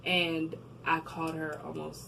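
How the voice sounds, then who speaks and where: A young woman from New Jersey, a guest in a casual interview, telling her story with animated quoting and frequent laughter. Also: low voice, unhurried, creaky. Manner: animated storytelling, laughs often, acts out quotes. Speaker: guest, record label marketing admin. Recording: distant mic with background noise.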